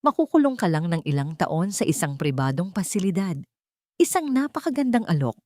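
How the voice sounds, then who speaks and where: Woman playing a cold, measured male lawyer. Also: on the low side, big pitch swings, a little mellow, dry recording, studio quality. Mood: cold, threatening. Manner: formal, measured, pitiless. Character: cold, calculating male lawyer of a rich family.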